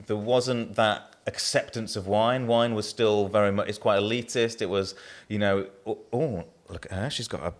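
A man's voice: on the low side, fairly even intonation, moderate pace, hardly any pauses. A man with a southern English accent, a brewer being interviewed, who talks in a rambling way with many restarts. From southern England.

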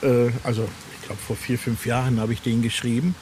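A man's voice: mid-range voice, little pitch movement, fairly quick, darkish tone. Easygoing man in an interview, ambient noise. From Ostwestfalen, Germany. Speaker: horror novelist being interviewed. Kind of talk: casual interview chat.

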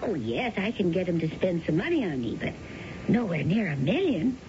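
A woman's voice: lowish voice, very animated, moderate pace. An actress playing a vivacious young woman scheming in a radio drama, with a teasing, breezy, questioning manner. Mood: sceptical. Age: young adult. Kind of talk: 1970s radio drama acting. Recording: dull, noisy old radio recording.

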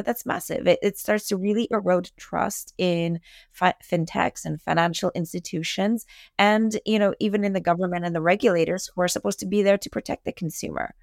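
A woman's voice: on the low side, gravelly, no room sound, clean studio sound. A woman speaking as an expert guest, conversational but earnest, emphatic and articulate. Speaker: fintech industry expert guest.

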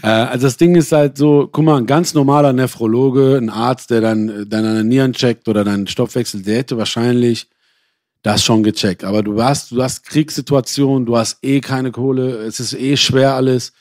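A man from Iran who grew up in Germany tells his story as an interview guest. He speaks casually and colloquially, often digressing and correcting himself. His mid-pitched voice is recorded dry, with very little room sound. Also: fairly even intonation, moderate pace, a little mellow, no echo. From born in Iran, grew up in Germany.

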